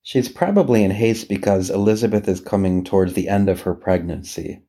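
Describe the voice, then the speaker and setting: Low, mellow man guiding a meditation. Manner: gentle, unhurried, with long pauses. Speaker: priest leading a guided meditation. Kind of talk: guided meditation, reflective scripture reading.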